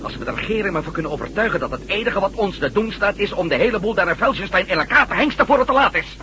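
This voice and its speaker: A fast, brash radio-drama adventurer. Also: male voice, high voice, expressive. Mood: urgent, fired up. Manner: blunt, urgent, sarcastic. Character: brash, impatient former astronaut turned newspaper reporter. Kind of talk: Dutch science-fiction radio drama acting. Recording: slightly noisy old radio recording.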